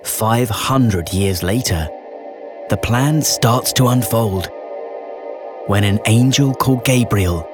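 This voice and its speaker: A man narrating a rhyming children's bedtime story in a measured storytelling delivery with deliberate pauses. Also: lowish voice. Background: festive music and sound effects.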